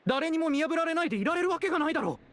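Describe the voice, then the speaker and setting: Earnest young man, stubborn, loyal, emotional, very animated pitch, video game dub. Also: racing, slight background noise. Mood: shaken, defiant. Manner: impassioned, blunt. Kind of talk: Japanese game dub acting.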